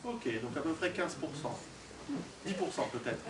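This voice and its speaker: A distant, echoey recording of a man from Switzerland addressing an audience. He speaks in an informal, joking lecture style and projects to the room, with a fairly high-pitched voice for a man. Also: big pitch swings, moderate pace. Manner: conversational and joking, polling the audience; projecting to a room without a microphone. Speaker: guest lecturer and author. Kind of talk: informal public talk to an audience. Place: indoor hall, recorded at a distance. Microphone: distant camera or recorder, no microphone on speaker. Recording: echoey hall from far off, noisy.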